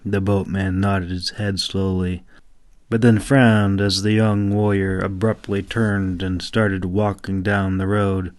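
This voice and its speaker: A man's voice: low voice, flat delivery, slow, a little mellow. A man who narrates his own audiobooks, speaking informally as an independent storyteller at a microphone. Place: mostly quiet room at home. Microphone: solo home microphone.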